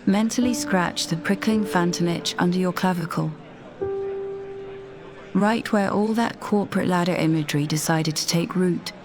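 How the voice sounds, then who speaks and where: A woman narrating a scripted guided meditation in a measured, deliberate, soothing manner. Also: on the low side. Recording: voice over background music. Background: music.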